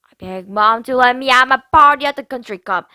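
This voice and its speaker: A woman's voice: sits high, brisk, pushed and bright, no echo, studio quality, pitched above the performer's own voice. A woman reading a storybook aloud, voicing a spoiled, dramatic teenage girl who whines and complains. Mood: complaining. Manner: whiny, dramatic, self-pitying.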